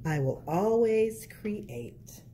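A woman reads a picture book to children in an expressive storyteller's style. Her pitch moves freely over a mellow, rounded tone. A little background noise sits behind her voice. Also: drawn-out words. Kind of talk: read-aloud picture book narration.

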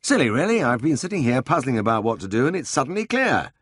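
A man voicing a brisk, impulsive middle-aged vet who runs the practice and talks in breezy, bossy exclamations. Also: mid-range voice, sing-song, a bit bright, slightly rough, no room sound, clean studio sound, voice pushed up.

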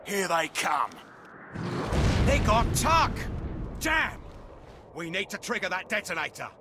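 Brisk, higher-voiced British wartime corporal. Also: male voice, big pitch swings, background noise, exaggerated voice. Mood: urgent. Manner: brisk, slangy, combative. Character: brisk, slangy young British army corporal from World War Two. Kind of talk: video game cutscene acting. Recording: clear music over the voice.

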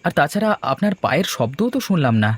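A man's voice: fairly high pitch, big pitch swings, fast, husky. A man playing a polite, uneasy, curious young nephew in a horror cartoon. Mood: surprised polite.

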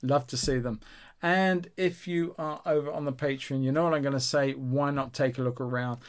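A man's voice: mid-range voice, steady tone, moderate pace. A man in his sixties speaks in a mid-range voice as the host of a painting tutorial. His delivery is casual and chatty, addressing viewers directly in an encouraging, instructional way. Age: 60s.

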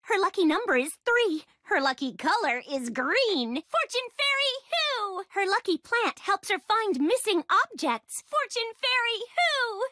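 A young woman voicing an excitable, bubbly young female duelist and reporter in an anime card game, with a perky, theatrical delivery. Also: extremely high pitch, no echo, studio quality, heavily acted. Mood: cheerful, excited.

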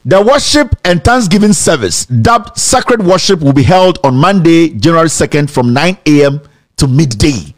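Animated Ghanaian man, sarcastic commentary. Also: fairly high pitch, normal speed. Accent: Ghanaian, mixing English and Twi. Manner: animated, sarcastic, breaks into laughter and sing-song mockery. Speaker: commentary show host. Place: indoor studio set.

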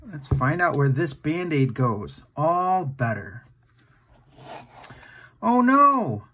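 Animated man reading a children's storybook. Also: a little high, husky. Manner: playful, exaggerated, asks the listener questions. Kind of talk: animated read-aloud picture book storytime for young children.

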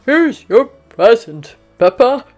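A woman's voice: fairly high pitch, very animated, slow, darkish tone, faint music behind, pitched above the performer's own voice. A woman voicing a hearty, jolly old Santa Claus in a children's storybook reading. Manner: hearty, exclamatory.

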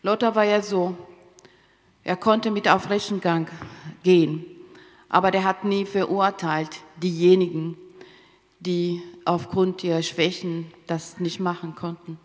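A woman giving a solemn interpretation of a eulogy at a stand microphone, in careful, slightly halting short phrases. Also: on the low side, level tone. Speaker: consecutive interpreter. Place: theatre stage. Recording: reverberant large hall.

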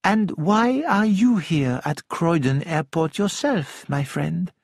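Guy doing a polite little detective in a mystery audiobook, slow careful words, kinda high. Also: lively intonation, vocal fry, no echo, clean studio sound, full character voice. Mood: polite, curious. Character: small, shrewd, courteous male detective with a moustache.

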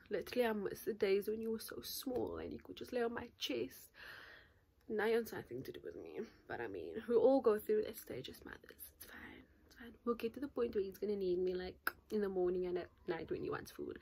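A nineteen-year-old woman talking casually to camera in a vlog, chatty and animated, with constant fillers, filmed at arm's length in a small bedroom. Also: medium pitch. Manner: chatty, animated, gestures a lot, says "like" constantly. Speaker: YouTuber. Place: small bedroom in front of a patterned curtain. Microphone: phone or camera at arm's length.

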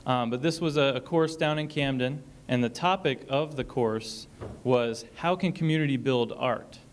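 A man talks through a topic at a college panel discussion, steady and explanatory, pausing on ums. His voice sits at a middle pitch. A faint room noise runs under the auditorium sound. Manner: explanatory, frequent ums, reads a passage aloud.

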